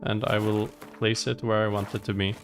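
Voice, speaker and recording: A man's voice: lowish voice, flat delivery, brisk. A man giving casual gameplay commentary as a streamer, musing aloud to himself as he plays. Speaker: game streamer. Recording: voice over game music. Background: game music.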